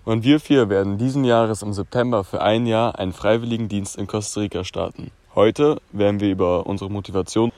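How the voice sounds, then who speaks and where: Ein achtzehnjähriger Mann aus Berlin, der sich ernst und abgelesen als Freiwilliger vorstellt. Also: male voice, on the low side, darkish tone, no echo. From Berlin, Germany. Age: teens. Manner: earnest, reads from a script. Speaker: young volunteer. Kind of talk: read-out self-introduction.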